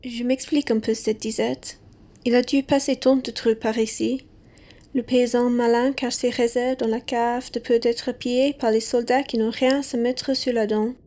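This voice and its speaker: A woman playing a well-bred gentleman traveller and devoted husband in a French radio play, formal and polite. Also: a little high, little pitch movement, normal speed, lightly acted. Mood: measured.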